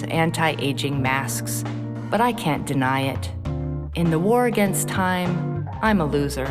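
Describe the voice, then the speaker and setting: A woman playing a smug middle-aged propaganda podcast host in audio fiction, with a polished, insinuating presenter's delivery. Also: on the low side. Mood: confiding. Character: smug fifty-year-old female propaganda true-crime podcast host. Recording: loud guitar music behind voice. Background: guitar music.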